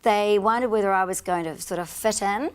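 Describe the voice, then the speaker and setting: A woman's voice: mid-range voice, fairly quick, clear, resonant tone. A woman, a guest on a chat show, talking confidently and chattily and often laughing at her own remarks. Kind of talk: casual chat interview.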